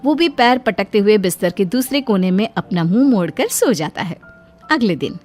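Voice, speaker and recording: A woman's voice: mid-range voice, animated, normal speed, darkish tone, soft background music. A woman reading scripted narration for a cartoon moral story.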